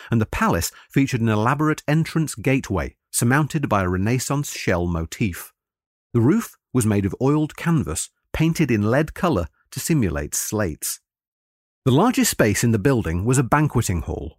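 A man delivers scripted historical narration in a formal, articulate style. His mid-pitched voice moves expressively up and down while he keeps a measured manner, and the recording is dry and close. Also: no echo.

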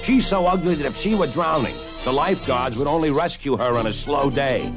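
A man's voice: fairly high pitch, brisk, over music, close to an everyday voice. An actor playing a middle-aged wisecracking serviceman and grumbling husband in a radio comedy sketch, delivering punchlines. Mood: grumbling, jokey.

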